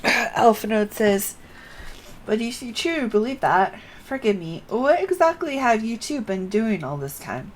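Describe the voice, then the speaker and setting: A woman's voice: fairly high pitch, sing-song, measured pace, slight background noise. A woman game streamer carefully reading on-screen dialogue aloud, announcing each speaker before the line.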